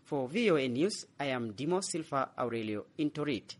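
A man's voice: medium pitch, expressive. A man filing a scripted radio news report as correspondent. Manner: measured reporting.

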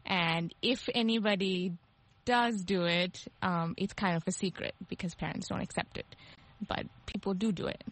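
A woman's voice: medium pitch, steady tone, normal speed, low-quality recording. A woman from Bangladesh, a talk show guest, giving an earnest opinion with many hesitations. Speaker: panel guest. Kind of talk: casual opinion on a panel.

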